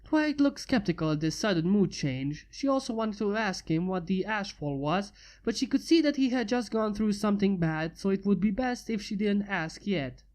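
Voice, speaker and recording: Steady fanfiction reader. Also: medium pitch, moderate pace. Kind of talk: fanfiction reading.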